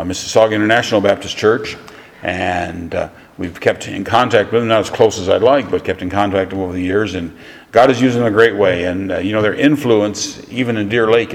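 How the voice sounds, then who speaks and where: A pastor introducing a guest preacher, speaking haltingly with many fillers and restarts, recorded in a church. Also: male voice, sits low, creaky. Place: church sanctuary. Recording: church hall reverb.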